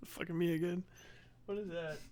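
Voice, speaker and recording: A man hosting a livestream, reacting to videos in profane, animated casual chat that swings between loud outbursts and mutters. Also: on the high side, normal speed, dry recording. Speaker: livestream host.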